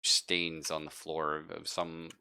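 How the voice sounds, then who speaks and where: A man improvising narration as game master of a tabletop roleplaying game, halting and informal as he thinks aloud. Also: very deep voice, completely flat delivery, vocal fry, pushed and bright, smooth.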